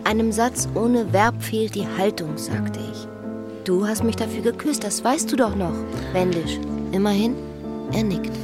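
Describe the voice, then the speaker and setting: An actress playing a wistful, lovesick woman, brooding and quietly bitter, in an intimate literary radio drama. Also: medium pitch, a little slow, over loud music, natural voice. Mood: wistful, longing. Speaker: lead actress and first-person narrator.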